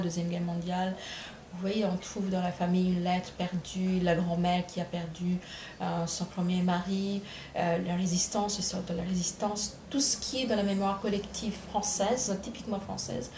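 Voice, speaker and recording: A middle-aged woman from India speaks with an Indian accent, choosing her words slowly and reworking her phrases as she goes. Her voice carries some room echo, and a faint ambient hum sits underneath. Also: medium pitch, darkish tone. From Bengal, India. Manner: thoughtful, many hesitations and self-corrections. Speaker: writer. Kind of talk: reflective interview answers.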